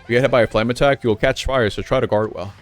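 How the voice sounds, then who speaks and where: A man's voice: medium pitch, very fast, soft background music. A man from Puerto Rico whose first language is Spanish, casually commenting while playing a game and thinking aloud. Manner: relaxed, chatty, thinking aloud. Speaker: streamer.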